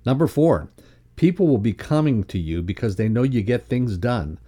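A man co-presenting a motivational podcast lesson, reading scripted text aloud in a measured, instructional way. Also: mid-range voice, lively intonation, warm tone.

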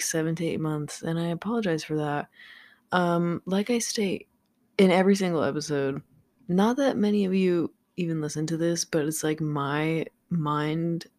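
Tired young woman, low and slow. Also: darkish tone, no room sound. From Southern California. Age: young adult. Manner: rambling, swears freely, many ums. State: tired, slightly raspy late at night. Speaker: podcast host. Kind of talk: casual solo podcast chat. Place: home room with a fan running. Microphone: studio microphone without pop filter.

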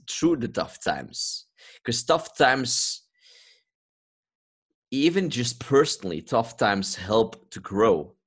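Man, low pitch, from Belgium, podcast host, casual conversation, earnest and explanatory.

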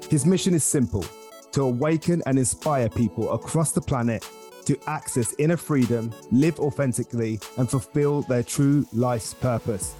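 A man's voice: medium pitch, moderate pace, warm tone, faint music behind. Friendly english guy hosting a podcast, mid voice, kinda singsong. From England. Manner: friendly, upbeat, sings intro lines. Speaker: podcast host. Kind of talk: podcast interview.

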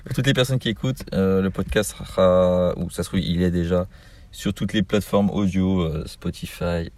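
Male podcast host, very low voice, relaxed and chatty. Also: normal speed. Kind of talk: casual podcast interview.

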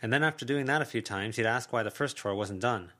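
A man's voice: medium pitch, quick pace, fairly bright tone, pitched above the performer's own voice, natural voice. A man voicing a guarded teenage girl who speaks hesitantly and dryly. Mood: complaining.

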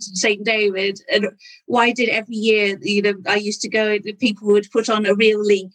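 A woman's voice: on the high side, rough recording. A woman, a guest author chatting casually and laughing often. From Wales. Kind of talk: casual interview chat.

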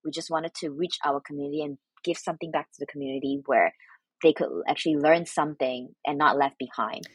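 Soft husky woman. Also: low-pitched, no echo, rough recording. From Malaysia. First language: Mandarin Chinese. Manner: halting, many restarts and fillers. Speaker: guest. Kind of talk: interview answers.

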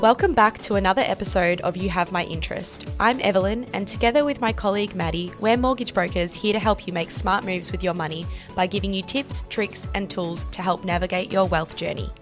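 A woman's voice: mid-range voice, fairly quick. A woman reading a scripted podcast introduction and questions as host. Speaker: podcast host and mortgage broker. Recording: music behind the voice. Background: clear background music.

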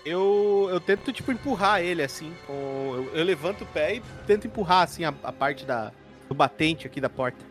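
A man's voice: a little high, expressive, fairly quick, a bit bright, soft background music. A man with a Brazilian accent chatting casually as a tabletop game player, slangy and given to swearing.

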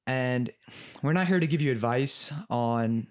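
A young man chats easily as a podcast co-host. He talks in a relaxed way and leans on fillers, and his pitch sits at a middling level. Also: measured pace, smooth. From United States. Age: young adult. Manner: relaxed, uses many fillers. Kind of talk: casual podcast chat.

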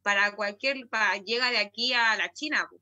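A woman's voice: medium pitch, normal speed, pushed and bright, dull audio. A woman with a Chilean accent, a guest singer chatting casually in slangy speech and tagging her sentences with a question. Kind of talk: casual conversation.

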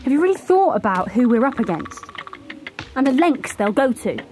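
High-voiced, militant bird commander. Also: female voice, very animated, normal speed, a little mellow, a little hiss or hum. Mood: defiant, hard-line. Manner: assertive, insistent, scornful. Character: militant, defiant budgie commander rallying birds against humans. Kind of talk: audio drama acting.

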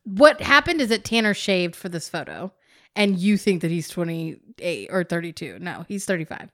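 Lively woman with a slight lisp. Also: lowish voice, normal speed. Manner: playful, blunt, sarcastic. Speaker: podcast co-host. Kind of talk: casual chat.

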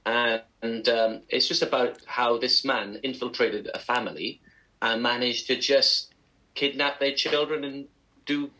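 A man's voice: mid-range voice, fairly even intonation, unhurried, pushed and bright, rough recording. A man co-hosting a podcast, chatty and agreeable, recounting documentaries he has seen. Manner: chatty, agreeable, laughs while telling stories. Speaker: co-host. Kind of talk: casual podcast chat.

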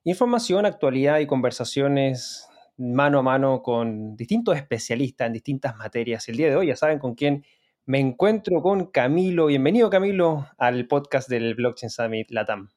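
A man's voice: mid-range voice, expressive, normal speed, darkish tone. A man hosting a podcast, welcoming a guest and asking questions in an upbeat, emphatic way. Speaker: podcast host. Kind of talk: read sponsor ad and podcast hosting.

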